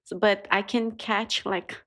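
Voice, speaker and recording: A Brazilian woman with a São Paulo accent, a native Portuguese speaker chatting as a podcast guest in halting English, laughing often. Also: medium pitch, steady tone, fairly bright tone. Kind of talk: casual chat.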